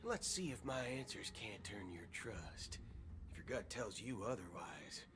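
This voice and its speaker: An actor playing a cocky cyborg gunslinger in a video game, with a theatrical, folksy drawl. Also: male voice, on the low side, expressive, unhurried, over music. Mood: folksy swagger. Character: swaggering cocky male cyborg gunslinger, folksy space cowboy.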